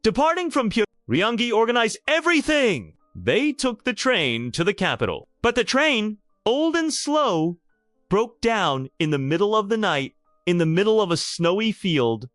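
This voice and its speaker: A man's voice: sits high, very animated, normal speed, fairly bright tone, dry recording, studio quality, faint music behind. A man narrating a scripted romantic drama in a theatrical, emphatic storytelling style.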